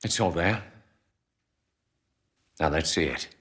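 An actor with an English accent playing a suave, coolly menacing dealer in a video game, formal, measured and polite. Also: male voice, low-pitched, fairly bright tone. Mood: polite, guarded. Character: suave, coolly menacing well-dressed male dealer in shady trades. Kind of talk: video game cutscene acting.

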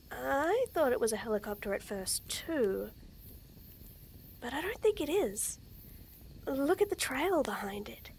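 A young woman voicing a shy, nervous tomboy friend in a romantic roleplay audio, stammering, giggling and speaking softly. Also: sits high. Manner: stammering, giggly, soft-spoken. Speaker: voice actor.

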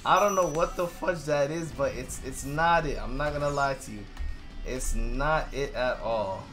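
Hoarse young man ranting over gameplay. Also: medium pitch, moderate pace, soft background music. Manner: excitable, rambling, swears freely. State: hoarse, losing his voice. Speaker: gaming YouTuber. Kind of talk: live gameplay commentary.

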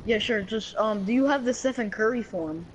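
A young boy in elementary school chats over a game's voice chat, quick to giggle and often tripping over his words. A faint hiss sits behind his voice. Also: high voice, rough recording. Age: child. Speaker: online game player. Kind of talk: casual voice chat while gaming.

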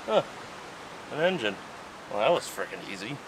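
A man with a fairly low voice talks through his repair work in a loose, joking way, now and then dropping into mutters as he works. He is recorded outdoors, and clear noise runs behind him. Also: big pitch swings, normal speed. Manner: chatty and joking, swears casually, mutters while working. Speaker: vlog host fixing an old tractor. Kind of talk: casual how-to narration while working. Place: outdoors on a farm.

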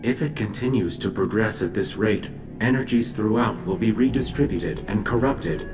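An actor playing a calm alien council sage in a video game, speaking in a serious, formal, measured manner. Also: male voice, fairly low pitch, close to an everyday voice. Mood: grave, explanatory. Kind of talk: video game cutscene acting. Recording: game music behind voice.

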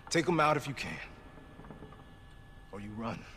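A man's voice: mid-range voice, very animated, measured pace, a bit bright, a little hiss or hum. A man acting a wounded, protective, stoic middle-aged American police officer in a video game, grave and earnest. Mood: grave, urgent.

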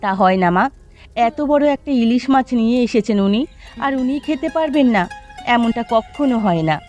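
A woman's voice: on the high side, animated, normal speed, a little mellow, soft background music, natural voice. A woman voicing a dutiful, considerate young village daughter-in-law in a Bengali cartoon. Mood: earnest.

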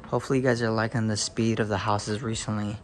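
A young man filming a casual home tour on a handheld phone, talking to viewers with excited exclamations and lots of fillers. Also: on the low side, fast talker, a little hiss or hum. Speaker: real estate video host. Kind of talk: casual walkthrough narration. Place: inside a large empty house.

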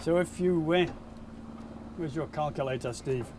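A man's voice: fairly high pitch, moderate pace, darkish tone. A middle-aged man, explaining a boat survey test practically to a group at a marina, thinking aloud with restarts. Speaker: surveyor demonstrating a heel test. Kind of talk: on-site technical demonstration. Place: outdoor marina, on a small boat. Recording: outdoor noise around voices.

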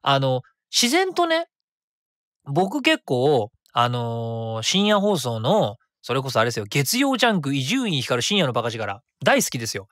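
A man's voice: medium pitch, wildly swooping pitch, measured pace, fairly bright tone, no echo, clean studio sound. A man hosting a solo radio show in casual talk, chatty and rambling, thinking aloud and correcting himself as he goes. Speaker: radio host. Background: background music.

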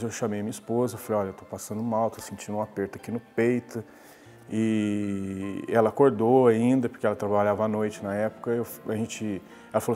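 A man's voice: fairly low pitch, a little mellow. A man tells his story in an easy, conversational way, often restarting his sentences. His voice carries a long reverb from a hall, and faint music plays underneath. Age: 40s. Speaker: interviewee. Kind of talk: personal account in a TV interview. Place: glass-walled lobby, indoors.

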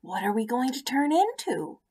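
A woman voicing an eager, innocent young male polliwog in a storybook, excitable and full of curiosity. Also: a little high, moderate pace, husky, pitched above the performer's own voice. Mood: excited. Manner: excitable, wide-eyed delivery.

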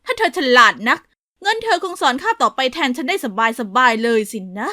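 A woman's voice: high-pitched, big pitch swings, bright, forceful tone, no echo, clean studio sound, full character voice. Woman playing a scornful strict math teacher. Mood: sarcastic. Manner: scolding, sarcastic, drawn-out.

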